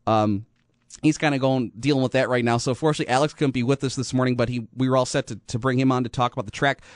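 An American man hosting a motorsport radio show, talking informally and haltingly, often rephrasing mid-sentence. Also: mid-range voice, fairly even intonation, no room sound, studio quality. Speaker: radio host. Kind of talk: motorsport talk radio monologue.

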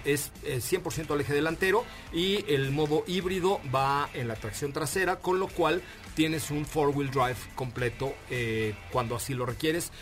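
A man's voice: on the high side, fairly bright tone. A man with a Mexican Spanish accent hosting a radio car show, talking enthusiastically with jokes, slang and lots of fillers. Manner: enthusiastic, jokey, slang-heavy, many fillers. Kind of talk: casual radio chat.

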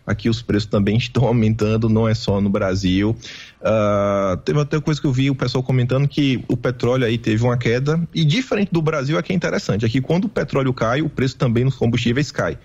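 A man from Bahia with a Bahian Brazilian accent, a remote guest giving animated, casual political commentary. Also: mid-range voice, a little fast, husky, highs cut off. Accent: Bahian Brazilian Portuguese. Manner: animated, mimics others' voices in quotes.

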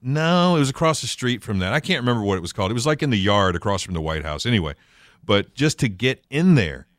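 A man co-hosting a morning radio show, in an easy, reflective conversational way. His voice sits very low and sounds smooth and resonant. The studio sound is dry and close. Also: very animated, fast, fairly bright tone, dry recording. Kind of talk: casual radio chat.

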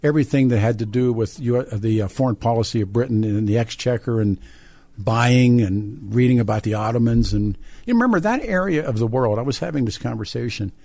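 An American man hosting a call-in radio show, who talks discursively, halting and repeating words as he thinks aloud. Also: medium pitch, vocal fry, mellow, no room sound. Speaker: radio talk show host. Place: radio studio. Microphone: close studio microphone.

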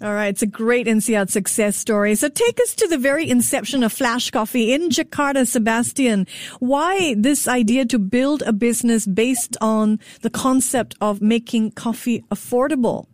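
A woman's voice: on the high side, normal speed, no room sound, clean studio sound. A woman hosting a business interview on the radio, presenting and questioning her guests.

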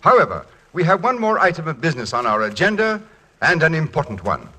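An actor playing a formal, orderly college board chairman in 1940s radio, with a pompous, measured manner. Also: fairly high pitch, wildly swooping pitch, pushed and bright, husky, exaggerated voice. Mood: stern, formal. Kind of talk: 1940s radio comedy acting.

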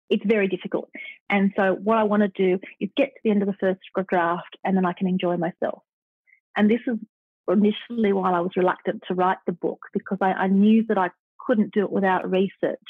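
A woman's voice: medium pitch, no echo. A middle-aged Australian woman author, talking thoughtfully in an interview and stopping and restarting as she goes. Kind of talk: interview and reading aloud. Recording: dry, phone-like line.

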